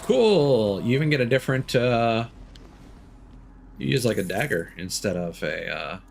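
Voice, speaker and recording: A man's voice: mid-range voice, lively intonation, measured pace, gravelly, darkish tone, slight background noise. A man streaming a video game and chatting casually as he plays, thinking aloud with fillers and small laughs. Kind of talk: casual live gameplay commentary.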